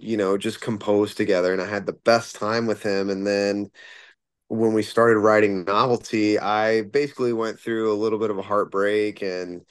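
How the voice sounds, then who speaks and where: A man's voice: on the low side, smooth, dry recording. A man, a musician and singer, talking casually in an interview, rambling with fillers and restarts. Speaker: musician guest.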